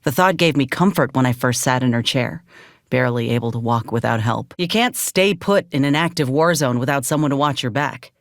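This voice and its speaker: An actress playing a wounded but loyal frontier ex-soldier in a video game, guarded and wry, with a folksy, plainspoken, dry manner. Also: female voice, very deep voice, brisk, no room sound. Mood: earnest, determined. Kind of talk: video game character dialogue.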